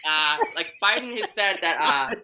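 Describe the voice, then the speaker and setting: A man's voice: on the high side, lively intonation, normal speed, noisy background. A man hosting a casual political podcast, rambling with lots of fillers. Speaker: podcast host.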